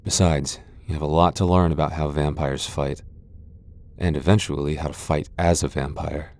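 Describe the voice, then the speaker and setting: A man acting a gruff tsundere vampire lord in a roleplay audio drama, curt and easily flustered, secretly protective, with a gravelly voice. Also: rumbling low, steady tone, normal speed, a little mellow. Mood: stern, guarded.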